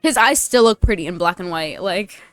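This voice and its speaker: A woman's voice: fairly low pitch, expressive, moderate pace, faint music behind. A young woman doing casual, slangy game commentary with a playful, teasing manner. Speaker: streamer playing a story game. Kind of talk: casual game commentary, with dialogue read aloud.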